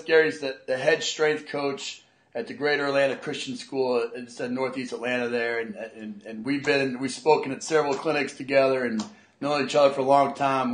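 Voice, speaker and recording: A man's voice: medium pitch, roomy sound, rough recording. A man hosting a strength coaching podcast, talking with energy and enthusiasm. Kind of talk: podcast interview and promo.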